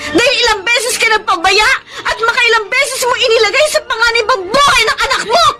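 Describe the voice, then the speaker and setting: Anguished, very high-voiced protective woman. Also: fairly quick, over music. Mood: outraged. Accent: Filipino. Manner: emotional, pleading, scolding. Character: anguished, indignant woman fighting to protect a child. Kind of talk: melodramatic Filipino radio drama acting.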